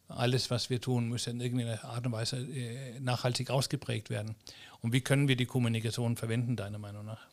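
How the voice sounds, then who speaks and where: A man with a gravelly, creaky voice hosts a casual interview. His speech is halting, full of restarts and fillers. His pitch sits in a middle range for a man. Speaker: podcast host.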